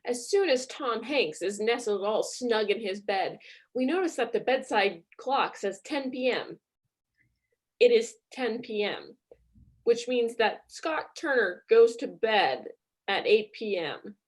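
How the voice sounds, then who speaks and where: A woman's voice: on the high side, expressive, slow. A woman narrating a comedy story with mock-earnest grandeur.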